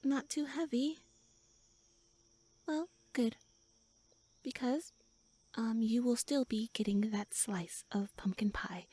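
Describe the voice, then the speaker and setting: Woman acting a pouty, breathy tsundere elf girl. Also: fairly high pitch, a little mellow. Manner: flustered, haughty, defensive, halting. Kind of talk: roleplay audio acting, addressed to listener.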